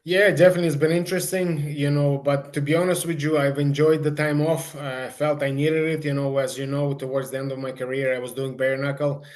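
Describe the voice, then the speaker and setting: Man chatting in a flat, even tone. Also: a little high, brisk, few pauses. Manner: rambling, repeats "you know". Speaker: professional fighter guest. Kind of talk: casual, conversational interview answer.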